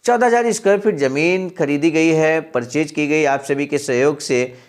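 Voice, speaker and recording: A man with a somewhat high voice presents a daily message in a steady, earnest way. He reads a historical text aloud, pauses to explain it, and calls his listeners honored friends. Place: center in Sarnath, Varanasi.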